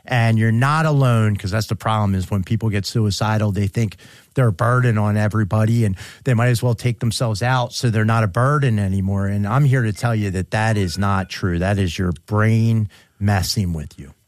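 A man's voice: on the low side, normal speed, dry recording. Earnest male radio co-host, dry sound. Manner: earnest, reassuring toward listeners. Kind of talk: casual radio chat.

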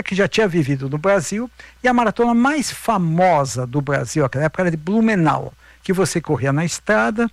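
High-pitched, gravelly Brazilian man telling stories. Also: no echo. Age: middle-aged. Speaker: interview guest, veteran marathon runner. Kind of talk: casual anecdotal interview talk.

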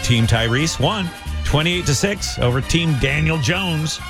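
A man hosting a live radio show, joking with co-hosts and running through a quick sports rundown. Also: a little high, animated, gravelly, music in the background. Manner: brisk, joking, reads listings quickly. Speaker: radio host.

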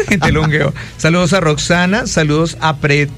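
A man's voice: on the high side, animated, normal speed. A man hosting a live radio show, teasing his guests and laughing often. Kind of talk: playful live radio banter.

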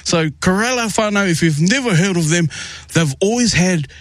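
A man's voice: sits high, animated, normal speed, dry recording, clean studio sound. A male radio host interviewing musicians in a casual, rambling way, with many restarts. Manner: rambling, repeats words and restarts often. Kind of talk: warm casual interview with Pacific greetings.

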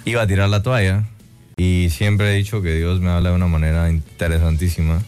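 Man, very low voice, reflective interview guest, hesitant fillers. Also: little pitch movement, brisk, a little mellow, soft background music. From Guatemala. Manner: reflective, many "eh" fillers and tag "¿no?". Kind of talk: casual interview chat.

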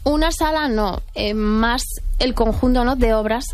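A woman's voice: fairly high pitch, measured pace. A woman, an author who works at a museum, speaking as an interview guest in a thoughtful, explanatory way with frequent hesitations. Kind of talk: conversational interview.

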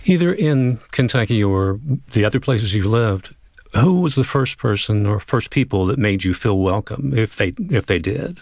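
A man hosting a radio interview, asking calm, direct questions. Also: lowish voice, normal speed, no echo. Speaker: interview host.

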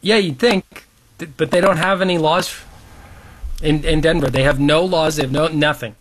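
A man's voice: a little high, steady tone, moderate pace. A man co-hosting a talk show, reacting with laughter and disbelief. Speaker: co-host. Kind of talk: casual talk-show banter.

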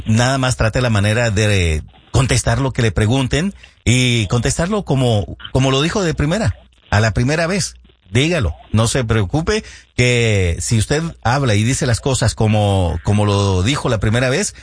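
A man hosting a radio show, talking straight to his listeners in a calm, reassuring way and repeating his key points. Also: mid-range voice, dry recording. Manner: reassuring, repetitive, addressing listeners directly. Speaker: radio host. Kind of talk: radio call-in advice.

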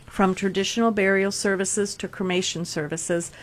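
A woman's voice: medium pitch, fairly even intonation, normal speed, slight background noise. A woman co-hosting a radio show, speaking as a presenter who also reads sponsor spots. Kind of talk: radio talk and scripted sponsor read.

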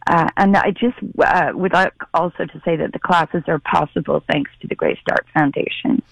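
A woman's voice: deep voice, normal speed, creaky, no room sound, poor audio. A woman speaking over the phone in a live radio interview, explaining a senior center program in an earnest way with some hesitation. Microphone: telephone.

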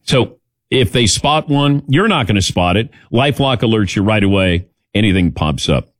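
A man's voice: on the low side, lively intonation, normal speed, no echo. A man hosting a sports talk radio show in a casual, wry, opinionated manner.

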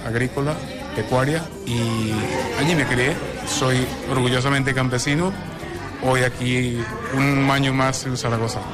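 A Venezuelan man speaking in a radio street interview, earnest and proud. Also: medium pitch. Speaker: Venezuelan community representative.

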